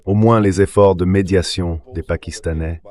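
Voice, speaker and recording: A man's voice: sits low, fairly even intonation, normal speed, a little mellow. A man's synthetic voice reading translated political commentary as a voice-over, in blunt, scripted phrases.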